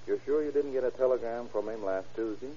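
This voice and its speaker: An actor playing a tough, slangy private investigator who questions in a clipped way, in 1940s radio crime drama style. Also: male voice, mid-range voice, fast talker, dark tone, a little hiss or hum, natural voice. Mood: pressing, firm. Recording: dull old radio drama recording.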